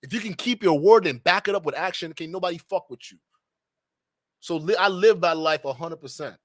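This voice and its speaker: A young man from Nigeria hosting a live stream, giving an animated, preachy monologue with slangy asides. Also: fairly high pitch, brisk, dry recording, clean studio sound.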